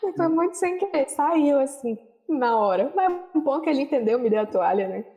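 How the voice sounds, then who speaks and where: A young adult woman, a guest in a casual interview, telling travel stories in a relaxed, rambling way with frequent tag questions. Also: high voice, a little fast. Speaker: guest, social worker.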